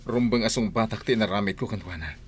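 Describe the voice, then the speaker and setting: A man playing a determined young gentleman of a well-off household in a radio drama, earnest and firm. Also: lowish voice, fast, breathy edge. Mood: resolute.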